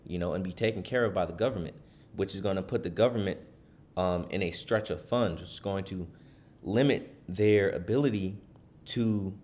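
Deep-voiced man rambling through commentary. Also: a little mellow. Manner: rambling, many fillers and restarts, reads aloud from a web page. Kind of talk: casual screen-share commentary.

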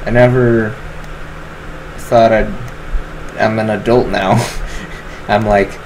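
A man's voice: lowish voice, takes it slowly, background noise. A man of twenty chatting casually over his game, rambling with lots of fillers as he decides what to craft. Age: 20s. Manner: rambling, filler-heavy, relaxed. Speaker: gaming channel host. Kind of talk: casual gameplay commentary.

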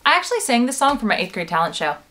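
A young woman chats casually on a living-room couch and laughs easily. Her voice sits a little high. She comes across as talkative and relaxed. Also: fast talker, background noise. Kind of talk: casual chat.

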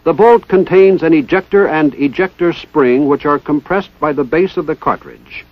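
Lively-pitched man narrating a vintage training film. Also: dry recording. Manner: formal, precise, measured enunciation. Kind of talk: 1960s instructional film narration, scripted. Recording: dull 1960s training film soundtrack.

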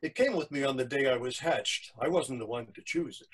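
A man voicing a modest young schoolgirl goose, wistful and measured, in a storybook poem. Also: mid-range voice, husky, lightly acted. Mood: wistful, resigned.

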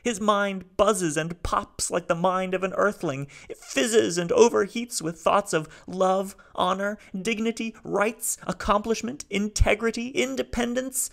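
A man voicing a grief-stricken little old male alien machine who speaks haltingly, as if lamenting. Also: high voice, fairly even intonation, creaky, a bit bright, pitched above the performer's own voice, exaggerated voice. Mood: mournful.

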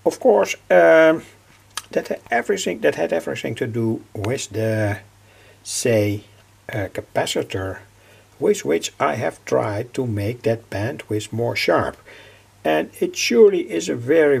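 An older man giving an informal technical explanation as a hobbyist presenter, halting and repeating his phrases. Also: mid-range voice, a little mellow. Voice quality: gravelly, husky.

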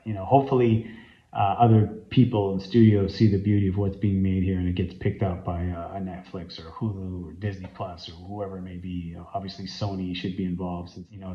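A Canadian man in his forties talks earnestly and enthusiastically to his phone camera in a small room, with plenty of ums and uhs. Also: low voice. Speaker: fan site founder and interviewee. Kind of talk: casual interview talk to camera. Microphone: phone camera at arm's length. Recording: roomy, slightly dull phone audio.

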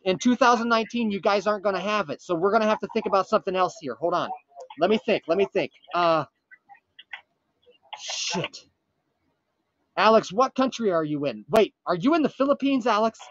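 Man playing a frantic high-pitched time traveler. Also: expressive, a bit bright, dry recording, dull audio. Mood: panicked urgency. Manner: urgent, pleading, halting with dramatic pauses. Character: frantic, earnest male time traveler from the far future. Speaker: prank caller. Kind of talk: improvised comic prank phone call.

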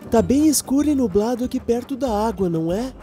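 A woman's voice: on the high side, lively intonation, a little fast, dark tone, close to an everyday voice. A woman voicing a cheerful, earnest young male train engine in a children's cartoon, with a Brazilian accent. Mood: musing. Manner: wide-eyed, earnest, exclamatory. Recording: background music under the voice.

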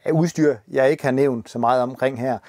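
Mellow-voiced man showing caravan gear. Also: mid-range voice, no echo, clean studio sound. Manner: relaxed explaining, gesturing while showing gear. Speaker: caravan dealer presenting equipment. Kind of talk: casual walk-through demonstration. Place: outdoors at dusk, then inside a caravan.

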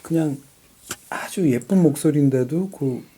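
A man's voice: fairly high pitch, a little slow, mellow, slightly rough. A man in his forties, co-hosting a music talk show, telling his memories in a reflective, conversational way. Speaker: podcast co-host. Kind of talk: casual reminiscing music chat.

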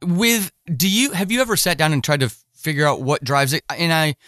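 A man with a slightly high voice, recorded close and dry. He hosts a casual podcast interview and speaks haltingly, reworking his questions as he talks. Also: lively intonation, sharp tone, no echo. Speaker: podcast host interviewing a writer.

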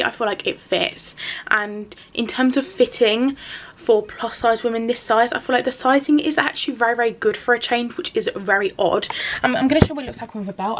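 A young woman chatting frankly to camera in her bedroom about a dress she is trying on, filler-heavy and casual. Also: fairly high pitch. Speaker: fashion vlogger. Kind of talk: casual try-on chat to camera. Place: small bedroom at home. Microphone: camera mic at arm's length.

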